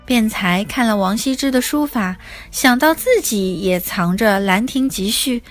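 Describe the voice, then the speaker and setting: A woman narrating a scripted children's story as its host, in an expressive, simply explaining way. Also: on the high side, unhurried. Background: soft music.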